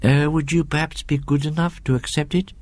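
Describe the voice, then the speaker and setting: A man voicing an earnest, polite young French woman in a storybook reading, gentle and deferential. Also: fairly high pitch, steady tone, brisk, dry recording, voice pushed up, natural voice. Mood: earnest pleading.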